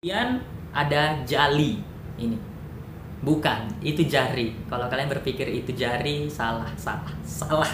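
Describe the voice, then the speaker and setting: A man's voice: lively intonation, normal speed. A man casually explaining words in an educational video, thinking aloud with fillers, self-corrections and jokey asides. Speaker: educational YouTuber. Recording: echoey room with noise and rumble.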